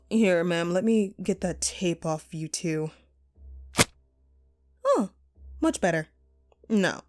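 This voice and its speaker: A woman's voice: mid-range voice, a little mellow. A woman playing a vengeful, sadistic jilted actress in a horror audio roleplay, mocking and theatrical, with menacing playfulness. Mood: taunting, sinister playful.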